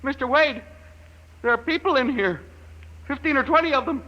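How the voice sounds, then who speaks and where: A man's voice: extremely high pitch, animated, normal speed, dull audio. An actor playing a panicked man in his forties, stammering and terrified, in a 1950s radio drama. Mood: pleading. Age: 40s. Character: panicked trapped middle-aged man. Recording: old archival radio broadcast.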